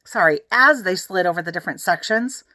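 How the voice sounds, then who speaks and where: A woman reading a children's book aloud in an expressive, animated way, with chatty asides to the listeners. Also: on the low side, a little fast, dry recording, studio quality. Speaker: read-aloud narrator.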